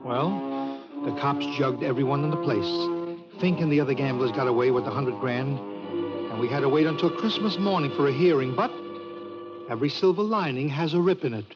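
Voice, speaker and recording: An American actor playing an excitable, naive small-time gambler in 1940s radio style, slangy and eager. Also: male voice, medium pitch, normal speed, loud music behind the voice, natural voice. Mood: rueful narration. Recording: muffled old radio recording.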